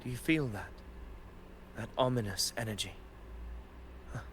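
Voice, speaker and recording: A man playing an earnest, devoted knight in a video game, speaking with formal, measured diction. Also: medium pitch, fairly bright tone, slight background noise, natural voice. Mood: alert. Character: earnest loyal male knight, solemn and devoted. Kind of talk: scripted video game voice acting. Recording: game music behind the voice.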